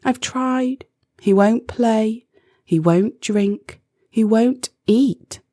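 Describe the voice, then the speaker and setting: Woman voicing an excitable, high-pitched little girl inventor. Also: drawn-out words, dry recording, studio quality. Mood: sad, wishful. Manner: exclaiming, sighing, dramatic. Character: young girl inventor, excitable, quick-tempered, easily frustrated.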